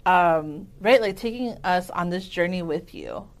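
A woman's voice: fairly low pitch, expressive, unhurried. A woman hosting a podcast in casual interview chat, bubbly and full of eager agreement. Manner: bubbly, affirming, lots of yeses. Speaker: podcast host.

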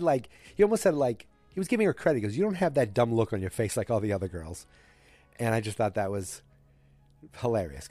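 Male podcast host, fighting a cold, dark and low, casual and digressive. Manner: rambling, digressive, self-correcting. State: has a cold. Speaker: podcast host recapping a TV episode. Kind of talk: casual solo recap.

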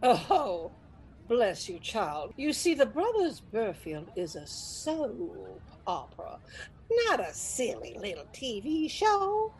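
A woman playing an excitable, gullible old soap opera fan in a comedic radio sketch, with folksy, breathless delivery. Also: on the high side, slow, full character voice, low-quality recording. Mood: condescending.

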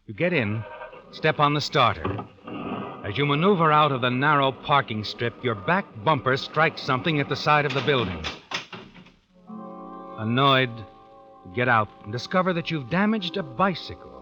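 An actor playing a knowing, suspenseful narrator who tells an old-time radio drama in the second person. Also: male voice, medium pitch, lively intonation, moderate pace, close to an everyday voice. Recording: dull vintage radio recording with music.

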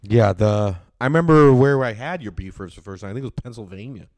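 A man's voice: mid-range voice, fast, darkish tone, no room sound. A man hosting a podcast, talking in a loose, rambling way with lots of fillers and casual swearing. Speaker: podcast host.

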